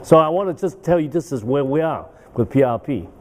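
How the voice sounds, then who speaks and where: Man in his 60s, medical lecture, rambling, soft mellow tone. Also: fairly high pitch, animated, fairly quick. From Hong Kong. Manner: rambling, with frequent "uh" and "okay?" tags. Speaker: guest lecturer. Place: banquet hall.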